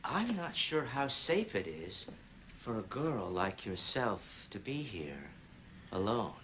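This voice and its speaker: A very low voice for an ominous adult in charge. He is measured and insinuating in TV drama style, with a swinging intonation. Faint noise lies behind him. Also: big pitch swings, a bit bright, heavily acted. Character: ominous, quietly controlling adult authority figure. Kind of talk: television drama acting.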